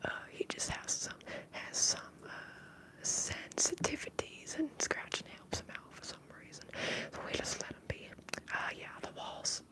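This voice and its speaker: A woman from the upper Midwest putting on a Southern American accent, talking softly and haltingly as she hosts an ASMR bartender roleplay livestream. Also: rough recording. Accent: American, affected Southern. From upper Midwest, United States.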